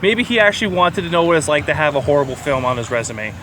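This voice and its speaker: A man with a voice pitched a little above average co-hosts a casual film review. He rambles and gives his opinions bluntly, swearing as he goes. Faint traffic noise sits behind him. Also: sing-song, quick pace, pushed and bright. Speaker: podcast co-host reviewing films. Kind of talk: casual movie review chat.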